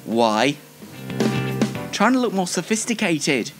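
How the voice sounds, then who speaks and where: A man's voice: sits high, sing-song, takes it slowly, loud music behind the voice. A young man hosting a casual show in a self-deprecating way, stumbling over and repeating the starts of his phrases. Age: young adult. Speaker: host. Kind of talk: casual self-interview and chat to viewers.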